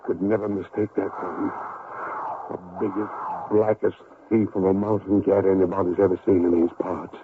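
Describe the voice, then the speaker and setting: A tinny old radio recording with faint noise carries a blustering, middle-aged miner, played by a male actor. His voice is on the low side. He talks in a rustic, folksy, excitable manner. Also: normal speed, lightly acted. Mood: grim, determined. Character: gruff, excitable older gold miner father. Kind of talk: 1970s radio drama acting.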